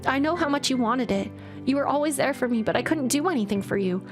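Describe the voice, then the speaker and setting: A woman voicing a timid, guilt-ridden young woman who speaks haltingly and apologetically. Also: high voice, expressive, music in the background, pitched above the performer's own voice. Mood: remorseful.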